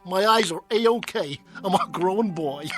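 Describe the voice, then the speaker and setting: High-pitched cheeky ventriloquist's dummy. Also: male voice, measured pace, a bit bright, soft background music. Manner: stammering, repeating, corny wisecracker. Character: cheeky boyish ventriloquist's dummy, wisecracking stage comic. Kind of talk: vaudeville comedy patter in a radio play.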